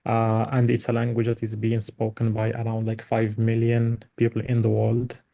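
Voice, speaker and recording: A man's voice: fairly low pitch, monotone, moderate pace, creaky, dark tone. A man from Morocco whose first language is Tarifit, giving an invited academic talk on slides, with lots of "like" fillers and restarts. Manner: many fillers and restarts, heavy use of "like". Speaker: invited speaker.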